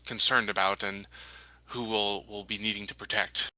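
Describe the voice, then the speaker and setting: Man playing a bright-voiced, smooth company man. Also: lowish voice, little pitch movement, no echo, low-quality recording. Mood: grave, diplomatic. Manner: measured, formal, diplomatic. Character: smooth, evasive male company man reassuring colonists. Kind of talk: in-character tabletop roleplay.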